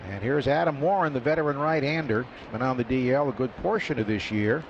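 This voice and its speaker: A man calling a baseball game live on radio, his voice jumping up in pitch and volume on big plays. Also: a little fast. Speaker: radio play-by-play announcer. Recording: lo-fi radio broadcast with loud ballpark noise.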